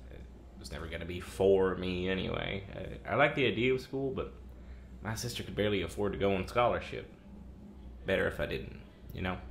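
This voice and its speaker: An actor playing a sardonic, guarded young man in an audio drama, talking casually and haltingly with a teasing edge. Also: low voice, creaky, a little hiss or hum. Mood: awkward, subdued. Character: sardonic, teasing, working-class young man, guarded but warm-hearted. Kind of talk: naturalistic audio drama dialogue.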